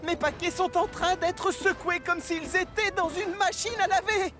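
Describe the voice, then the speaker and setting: A fussy, indignant female train in a children's cartoon. Her voice is extremely high, over clear background music. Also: normal speed. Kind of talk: French-language children's cartoon voice acting.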